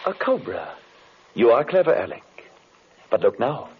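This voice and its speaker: An actor playing a clever, composed mystic hero in an old radio drama, formal and cryptic. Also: male voice, medium pitch, normal speed, gravelly, husky, exaggerated voice. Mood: wry, confident. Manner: calm, formal, enigmatic. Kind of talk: 1940s radio drama acting. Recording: dull, faintly noisy old radio recording.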